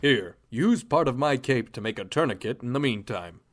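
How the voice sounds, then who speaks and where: A man's voice: medium pitch, animated, moderate pace, a little hiss or hum, lightly acted. A man playing a confident, righteous superhero leader in a radio drama, with a grand declamatory delivery. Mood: concerned, reassuring.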